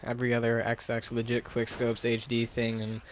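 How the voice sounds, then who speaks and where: A man's voice: medium pitch, completely flat delivery, moderate pace, slight background noise. A man giving a casual, unscripted gaming commentary into a gaming headset, talking in a hesitant, rambling, self-deprecating way. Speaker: gaming YouTuber.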